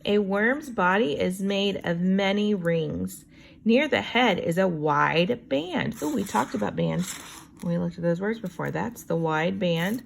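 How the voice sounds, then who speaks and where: A woman teacher reading a children's book aloud, reading deliberately and adding chatty, wondering asides about it. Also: medium pitch, animated, vocal fry, a little hiss or hum.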